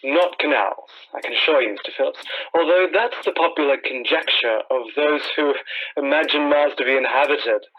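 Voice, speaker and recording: A man's voice: on the high side, moderate pace, close to an everyday voice. A man acting a composed, learned astronomy professor who speaks formally and precisely in a radio play. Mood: composed, assured. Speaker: astronomer being interviewed. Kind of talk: scripted radio drama acting. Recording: dull lo-fi radio sound.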